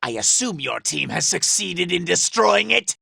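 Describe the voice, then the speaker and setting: A male actor playing a vain, scheming, power-hungry robot commander in a video game, imperious and theatrical, with a raspy, gravelly voice. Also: high-pitched, sing-song, unhurried, sharp tone, dry recording, clean studio sound, full character voice. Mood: impatient. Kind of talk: video game villain voice acting.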